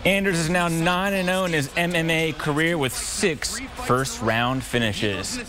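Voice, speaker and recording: A man delivering scripted sports news and highlight narration as a TV reporter and anchor. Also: a little slow.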